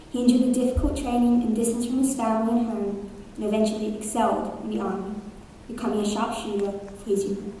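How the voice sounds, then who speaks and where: Higher mellow woman reading earnestly. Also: a little hiss or hum. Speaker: student presenter. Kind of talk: scripted memorial narration. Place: school assembly hall. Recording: echoey hall from far off.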